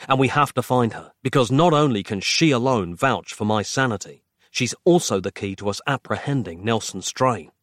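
A man's voice: medium pitch, expressive, normal speed, dry recording, studio quality, natural voice. A man voicing a grave, self-important male writer hero in a thriller audiobook, with a drawling, grim delivery.

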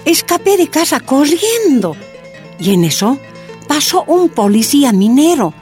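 A Bolivian-accented woman recounts her memories in a mellow, dark-toned voice. Her intonation moves widely, with faint music behind. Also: high-pitched, big pitch swings, measured pace. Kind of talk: first-person spoken recollection.

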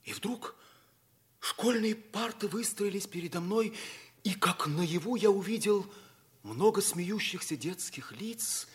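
An actor playing a proud, stern wounded Soviet pilot captain in a theatrical 1950s radio drama, with a husky voice. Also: male voice, sits high, lively intonation, takes it slowly, exaggerated voice. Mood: tense, remembering. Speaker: actor narrating in first person and playing the lead. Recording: old radio play recording.

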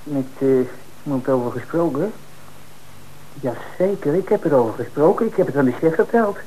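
High-pitched, defensive male suspect played by a man, noisy recording. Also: animated, moderate pace, dark tone, natural voice. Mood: hesitant, guarded. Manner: colloquial, hesitant, then indignant. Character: defensive male working-class suspect protesting his innocence. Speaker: actor playing a suspect. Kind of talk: Dutch radio drama dialogue. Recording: noisy old radio broadcast recording.

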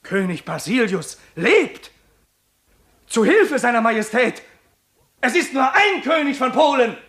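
An actor playing a loyal, agitated follower of a king in a theatrical radio drama, shouting drawn-out cries. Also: very high voice, sing-song, exaggerated voice. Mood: agitated, rallying. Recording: echoing, distant-sounding room.

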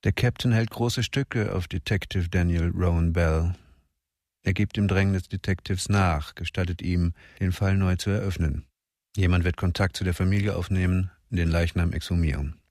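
A man narrating a radio crime drama in a measured, detached way. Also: sits low, steady tone, darkish tone, dry recording, studio quality.

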